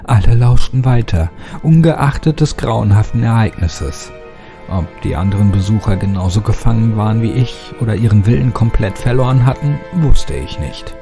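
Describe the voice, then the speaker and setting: A man's voice: fairly low pitch, moderate pace, vocal fry, warm tone. A man giving a scripted, dramatic first-person narration of a horror story. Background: music.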